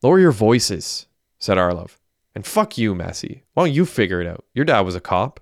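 A man's voice: lowish voice, sing-song, normal speed, dry recording, studio quality. A man voicing a brash, mouthy young man in an audiobook, who mocks the others and swears. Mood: hissing. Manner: mocking, swearing.

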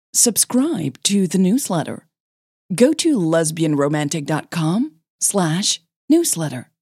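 A woman's voice: lowish voice, dark tone, no echo, clean studio sound. A woman hosting a fiction podcast, giving announcements and narrating stories.

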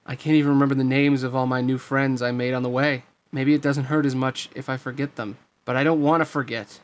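A man's voice: medium pitch, flat delivery, fairly quick, natural voice. A man voicing a plucky, earnest boy hero in an eager, emphatic way for a storybook reading. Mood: wistful.

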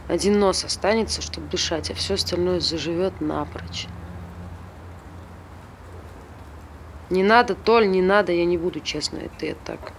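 An actress playing a troubled, fragile woman in a stage drama, halting and repeating herself nervously. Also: on the low side, a little hiss or hum, natural voice. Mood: agitated. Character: troubled, fragile woman confiding in a male friend.

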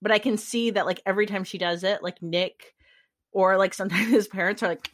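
A middle-aged American woman, mother of several kids, co-hosting a casual podcast with a relaxed, wry manner. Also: medium pitch, a little fast. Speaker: podcast co-host. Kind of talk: casual chat.

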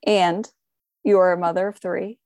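A woman's voice: on the low side, level tone, normal speed, a little mellow, no room sound, clean studio sound. A woman hosting a radio interview, asking questions in a friendly, chatty way. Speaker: radio host.

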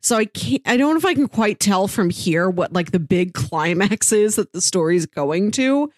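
A woman's voice: medium pitch, animated, moderate pace, no echo, studio quality. A woman co-hosting a casual podcast about comics, talking thoughtfully and fluently. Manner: thoughtful, fluent, frequent "yeah" backchannels. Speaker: podcast co-host. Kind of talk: casual comic-book discussion.

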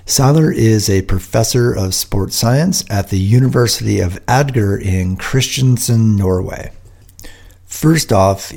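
A man hosting a sports podcast, reading a steady scripted intro and asking interview questions. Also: lowish voice, creaky.

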